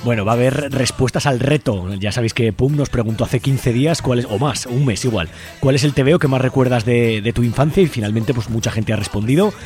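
A man's voice: mid-range voice, fairly quick, a little mellow. A man with a Spain Spanish accent hosting a community radio show in a casual, informal, joking way. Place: busy radio studio. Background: faint music.

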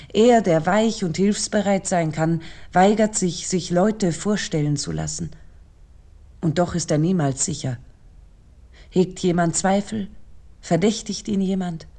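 Female essay narrator, lower register, very soft dark tone, measured and analytical delivery. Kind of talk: scripted literary lecture narration.

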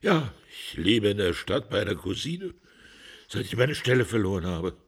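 An actor playing a distraught, hard-drinking husband under questioning, halting and anguished and sinking into breathy near-whispers, in a German radio drama. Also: male voice, on the low side, very animated, exaggerated voice. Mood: weary, sorrowful.

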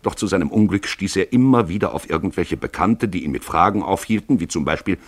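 A man giving a deliberate, theatrical literary narration for a radio play. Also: deep voice, breathy edge, no echo.

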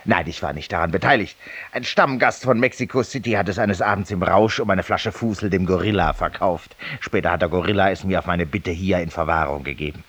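An actor playing a feverish, weary, self-styled judge-penitent in a 1950s radio drama, confiding ironically and theatrically in a silent listener. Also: male voice, low-pitched, brisk, a bit bright, slightly rough, no room sound, heavily acted. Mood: amused, mocking. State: feverish and tired, with coughs.

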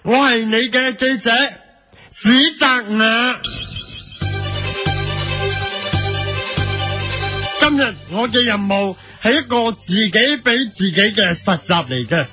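A man plays an earnest rookie TV reporter in a comic radio drama. His voice is high and sharply bright, with a Hong Kong Cantonese accent. He speaks pompously and refuses to let a question go. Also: lively intonation, measured pace, faint music behind, heavily acted. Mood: self-important. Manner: pompous, doggedly repetitive questioning. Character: earnest, obstinate young rookie TV news reporter.

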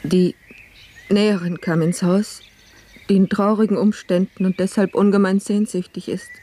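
An actress plays a put-upon, sorrowful housemaid in a radio drama. Her voice is mellow and soft-edged, at a medium female pitch. She speaks earnestly and plaintively, in careful old-fashioned phrases. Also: fairly even intonation, moderate pace, slight background noise, natural voice. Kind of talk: German radio play acting.

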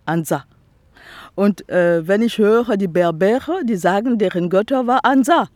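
Slow, halting woman with a Congolese accent. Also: mid-range voice, expressive, darkish tone, no echo. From Republic of the Congo. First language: Teke. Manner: halting non-native German, searching for words with many fillers. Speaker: artist, interviewee. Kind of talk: casual interview chat. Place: outdoors in the grass by a lake.

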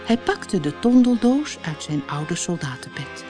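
A woman narrates a storybook fairy tale in an expressive, dramatic way. Her pace is unhurried and her medium-pitched voice is very animated. Soft music plays behind her. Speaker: audiobook storyteller.

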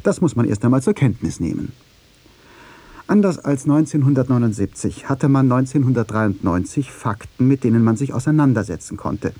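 A man's voice: medium pitch, animated, moderate pace, warm tone, dry recording. A man narrating a scripted radio feature in a pointed, critical, argumentative way.

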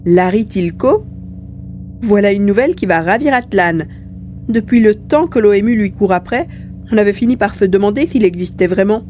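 Woman voicing a chatty little mouse-beaver, mellow, faint hum. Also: mid-range voice, expressive, normal speed, lightly acted. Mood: explanatory, wry. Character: small male mouse-beaver mutant, cheerful, playful chatterer. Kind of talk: acted dialogue in an amateur audio serial. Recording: faint background hum and noise.

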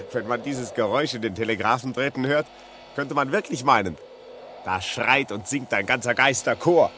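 A man's voice: mid-range voice, bright, forceful tone, slight background noise. An actor playing a rational, skeptical man who admonishes firmly and emphatically, in a 1950s German radio drama. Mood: scoffing confidence. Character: rational, brisk skeptical visitor, firmly urging calm. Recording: early 1950s radio play recording.

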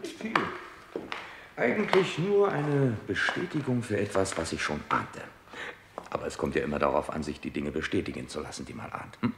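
Very deep, creaky courteous inspector. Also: male voice, animated, full character voice. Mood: wry, genial. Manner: measured, courteous, wry. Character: shrewd, courteous male chief inspector, gently ironic. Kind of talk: 1960s German radio crime drama acting. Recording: roomy 1960s radio recording, faint noise.

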